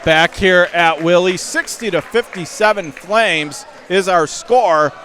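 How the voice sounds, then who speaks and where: A man calling live basketball play-by-play for radio in clipped, urgent phrases that rise into excited calls. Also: high voice, slow pace. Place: indoor high school basketball gym. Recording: game crowd and gym noise behind.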